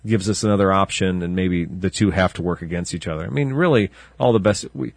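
Male co-host, low-ish voice, dry and close, rambling and chuckling. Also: fast, no echo. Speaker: podcast co-host. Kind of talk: casual banter.

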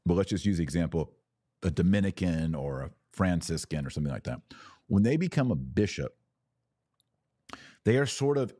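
A man's voice: low voice, dark tone, no room sound, studio quality. An American man speaking as a podcast host in conversational religious commentary, emphatic, often in short repeated phrases.

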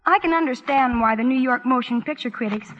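A woman's voice: high voice, a little fast. A woman in a scripted 1940s radio advertisement, speaking in a gushing, admiring way. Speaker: guest in a sponsor's advertising segment. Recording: muffled, faintly noisy vintage radio broadcast.

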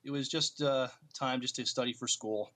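A man co-hosting a podcast, chatting casually in a plain, halting, understated way. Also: medium pitch, steady tone, bright, forceful tone. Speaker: podcast co-host. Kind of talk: casual chat.

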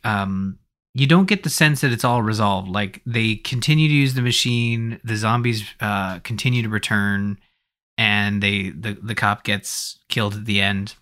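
A man's voice: mid-range voice, bright, forceful tone. A man co-hosting a casual film discussion in loose, rambling, hesitant phrases. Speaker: podcast co-host.

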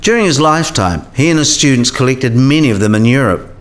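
A man's voice: mid-range voice, animated, fairly quick, lightly acted. A man playing a learned professor in a radio play, explaining science history in a precise, didactic way. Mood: measured, instructive.

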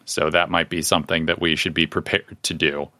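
A man playing a tabletop game, casually and frankly talking through plans as he deliberates. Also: rumbling low, level tone, pushed and bright, dry recording, studio quality.

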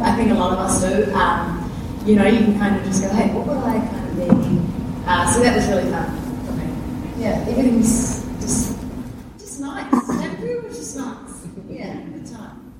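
A woman, an actor on a convention panel, answering in an upbeat, easygoing way. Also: mid-range voice. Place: large convention hall. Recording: distant, echoey hall with loud noise.

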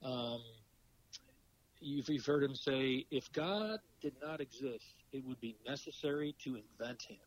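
Raspy man with a cold, halting. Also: medium pitch, muffled recording. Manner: halting, many fillers and restarts. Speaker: podcast guest, philosophy professor. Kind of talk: casual interview talk.

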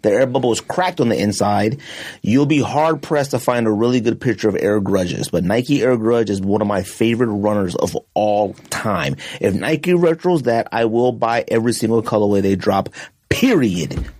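A man hosting a podcast in casual chat, rambling and animated, his voice jumping high when he gets excited. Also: normal speed. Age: adult, has a wife. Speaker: podcast host.

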